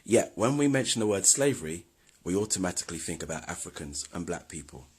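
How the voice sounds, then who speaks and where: Husky, very deep-voiced man. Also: sing-song, fast talker. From London, England. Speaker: video host. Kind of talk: scripted history commentary.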